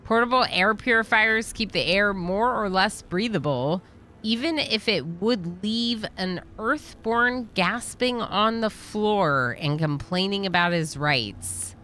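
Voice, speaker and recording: A woman's voice: medium pitch, lively intonation, takes it slowly, pushed and bright, a little hiss or hum. A woman reading game dialogue aloud as a weary, brooding colony engineer who grumbles and explains. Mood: weary, grumbling.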